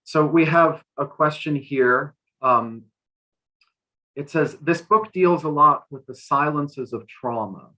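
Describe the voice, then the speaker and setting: A man speaking as a literary translator on a discussion panel, thoughtfully, often halting and repeating a phrase as he goes. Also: fairly high pitch, pushed and bright, rough recording. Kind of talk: panel discussion, interpreting and answering questions.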